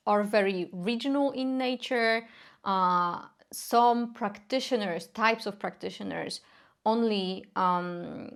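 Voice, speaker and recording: Polish-accented young woman, earnest, halting fillers, mid-range voice, casual explainer. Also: medium pitch, vocal fry. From Poland. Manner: earnest, frequent filler sounds. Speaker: folk magic educator. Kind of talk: casual explanatory talk to camera.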